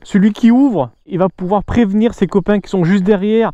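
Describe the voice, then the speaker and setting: A man's voice: high voice, normal speed, warm tone, no echo, dull audio. A man talking casually and informally to viewers as a motorcycle vlogger, explaining and reviewing gear.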